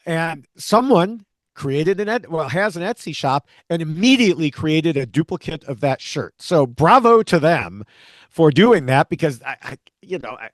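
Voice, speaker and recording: A man's voice: a little high, dry recording. A man hosting a podcast, recapping episodes and chatting with a co-host. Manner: lively storyteller, laughs often.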